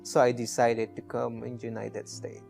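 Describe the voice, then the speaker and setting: A young man with a Guatemalan accent, speaking a second language haltingly and simply as he tells his own story. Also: mid-range voice, completely flat delivery, vocal fry, darkish tone. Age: young adult. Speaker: scholarship honoree. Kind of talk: personal story in a documentary video. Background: guitar music.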